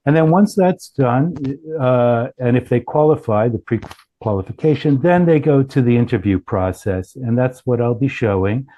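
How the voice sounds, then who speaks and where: A man's voice: medium pitch, animated, normal speed, warm tone, poor audio. A man giving an informal product presentation as a company founder, explaining things with frequent self-corrections.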